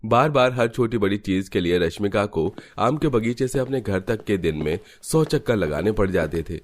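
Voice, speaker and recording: Very deep male story narrator. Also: lively intonation, normal speed. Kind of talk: scripted Hindi cartoon story narration.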